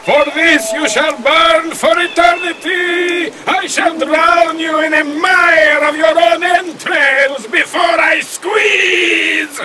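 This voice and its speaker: A man's voice: very high-pitched, steady tone, takes it slowly, hardly any pauses, shouting, loud music behind the voice, poor audio. An actor playing a menacing ancient male demon in a video game, pompous and theatrical, with archaic speech. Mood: thwarted rage.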